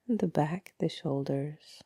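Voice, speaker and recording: A woman leading a guided body scan meditation in a soft, breathy voice, calm and unhurried, often trailing into a near-whisper with long pauses. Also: low-pitched, dry recording, studio quality.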